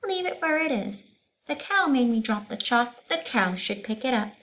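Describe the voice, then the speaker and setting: A woman's voice: a little high, animated, normal speed, fairly bright tone, pitched above the performer's own voice. A woman voicing a long-suffering woman schoolteacher in a storybook, emphatic and full of complaint. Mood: angry.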